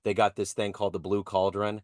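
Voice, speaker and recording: Low-voiced upbeat male podcast host. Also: level tone, fairly quick, no echo, clean studio sound. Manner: upbeat, conversational, enthusiastic about local sports. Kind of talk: casual interview questions.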